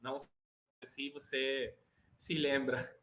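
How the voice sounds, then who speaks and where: A man's voice: mid-range voice, little pitch movement, slow, a bit bright. A Venezuelan man with Spanish as his first language, speaking Portuguese with a Venezuelan accent as an interview guest, hesitant and often restarting as he searches for words. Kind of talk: casual interview chat.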